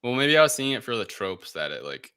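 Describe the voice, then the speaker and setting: A man's voice: medium pitch, sing-song, fast, crowd noise. A man co-hosting a podcast, casually recapping a film in a hesitant, rambling way. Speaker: podcast co-host.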